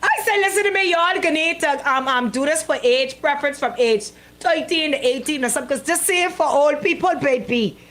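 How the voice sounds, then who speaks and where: A woman's voice: high voice, fairly quick, hardly any pauses, fairly bright tone. A woman bantering and telling stories as a radio co-host, exclamatory and given to emphatic repetition. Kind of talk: bantering radio chat and storytelling.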